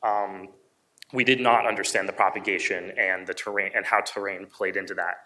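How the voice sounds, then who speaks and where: Bright-voiced man presenting, rather low pitch. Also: little pitch movement, normal speed, poor audio. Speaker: presenter at a book discussion. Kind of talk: informative presentation of a book summary.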